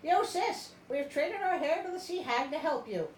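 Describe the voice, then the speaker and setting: Woman playing an insistent, high-voiced young mermaid sister. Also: lively intonation, moderate pace, roomy sound, slight background noise. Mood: slangy, eager. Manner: slangy, insistent. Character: urgent mermaid sisters, slangy, grimly bargaining.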